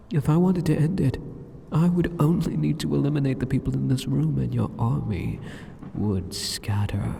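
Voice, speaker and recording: A man's voice: medium pitch, vocal fry, mellow, slightly rough, heavily acted. A man voicing an arrogant, sadistic demon king in an ASMR roleplay, with a mocking, condescending and teasing manner. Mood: gloating. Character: sadistic, arrogant male demon king taunting a captive hero. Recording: long cavernous hall reverb.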